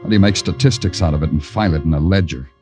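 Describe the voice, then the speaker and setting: An actor playing a world-weary American police detective, narrating in brooding, lyrical, hard-boiled 1940s radio noir style. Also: male voice, sits low, very fast, lightly acted. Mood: brooding, sorrowful. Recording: dull old radio broadcast, loud music.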